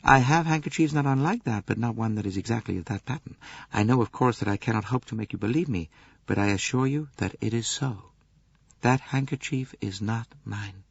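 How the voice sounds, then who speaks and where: A man's voice: lowish voice, fairly quick, no echo, dull audio. A man voicing an earnest, passionate young American countess in an audiobook, with a composed, incisive tone. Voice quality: rich, clear-cut, incisive tone. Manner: earnest, quietly emotional.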